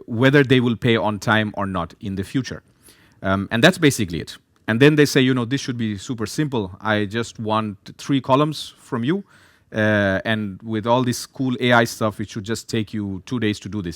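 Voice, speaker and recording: A man's voice: mid-range voice, no echo. A man from Bangladesh in his thirties, a data science team lead, giving a talk and explaining steadily with frequent "uh" fillers. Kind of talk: presentation talk.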